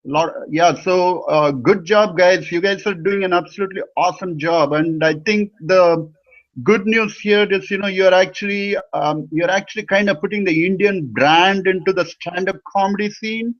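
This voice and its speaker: A man's voice: sits high, moderate pace. A man interviewing casually over an internet call, wordy and often repeating himself with "you know". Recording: dull lo-fi internet call audio.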